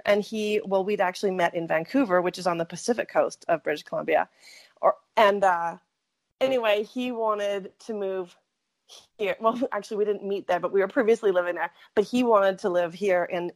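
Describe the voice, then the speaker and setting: A woman's voice: mid-range voice, moderate pace. A middle-aged woman from Saskatchewan, Canada, telling her story as a podcast guest in a chatty, rambling way that often corrects itself. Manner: chatty, rambling, frequent self-corrections and laughs. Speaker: interview guest. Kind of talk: conversational storytelling.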